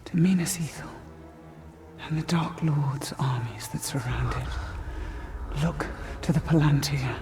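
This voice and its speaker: An actor playing an ominous otherworldly man who gives portentous, breathy warnings in a fantasy game cinematic. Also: fairly high pitch. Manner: slow, portentous. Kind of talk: dramatic game cinematic narration. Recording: orchestral music behind the voice.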